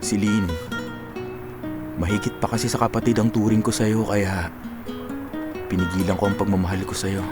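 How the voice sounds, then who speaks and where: A man's voice: lowish voice, flat delivery, normal speed, long pauses, lightly acted. A Filipino actor in a radio drama playing an earnest, playful young man in his twenties who is in love. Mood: tender, confessing. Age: 20s. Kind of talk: Tagalog radio drama acting. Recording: music behind the dialogue.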